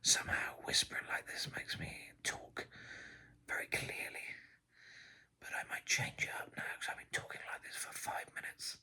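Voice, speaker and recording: A man's voice: normal speed, bright, forceful tone. A man doing a whispered ASMR ramble, sardonic and sweary, enunciating carefully and poshly with a crackly whisper. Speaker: heavy metal musician making an ASMR video.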